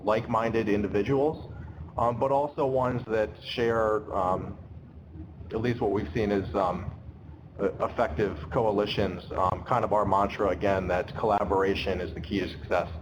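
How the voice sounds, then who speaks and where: A man with a somewhat low voice, a little hoarse as he gets over an illness. He answers questions in a relaxed, um-heavy way. His webinar audio carries a steady layer of clear background noise. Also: moderate pace, highs cut off. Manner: many ums, loose and conversational. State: getting over an illness, slightly losing his voice. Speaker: advocacy program staff.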